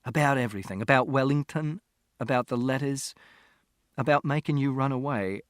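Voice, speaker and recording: A man's voice: medium pitch, moderate pace, no echo, studio quality, lightly acted. A man voicing an emotional, foul-mouthed father in an audiobook, desperate and defensive. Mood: pleading, remorseful. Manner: shouting and swearing. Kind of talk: audiobook character acting.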